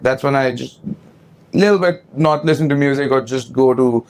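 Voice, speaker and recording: A man's voice: medium pitch, darkish tone, clear, resonant tone. A young man from India with an Indian accent, a touring band singer, talking loosely and frankly as a guest in a casual interview. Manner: chatty, loose and frank, with quick asides. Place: outdoors at a hot festival.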